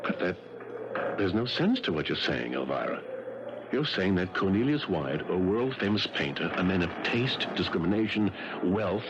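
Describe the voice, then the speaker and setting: A man's voice: low voice, sing-song, normal speed. A man playing a curious passenger who stammers and flusters, in a 1970s radio drama. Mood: incredulous. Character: curious, flustered male passenger caught snooping. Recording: old radio broadcast, heavy hiss, muffled.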